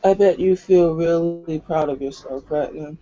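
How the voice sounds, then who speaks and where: A man's voice: fairly high pitch, unhurried, warm tone, highs cut off, close to an everyday voice. A man voicing a hostile, domineering, foul-mouthed female werewolf in a scornful, confrontational manner during tabletop roleplay. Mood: scornful anger.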